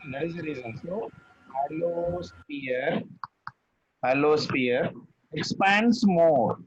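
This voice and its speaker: A man's voice: on the high side, slow, breathy edge, muffled recording. A man tutoring physics, patient, repeating key phrases and checking that his student follows. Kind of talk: one-on-one tutoring session.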